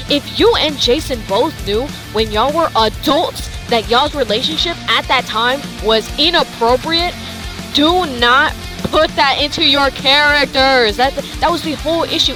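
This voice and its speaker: A woman with a high-pitched voice delivers a blunt, improvised rant. She sounds exasperated and emphatic, often restating her point over clearly audible music. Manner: exasperated, emphatic, repeating herself. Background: background music.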